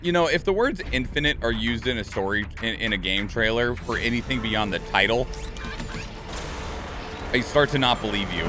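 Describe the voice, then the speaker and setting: A man's voice: mid-range voice, animated, normal speed, over music. A man hosting a reaction channel with casual commentary, rambling and stammering as he thinks aloud.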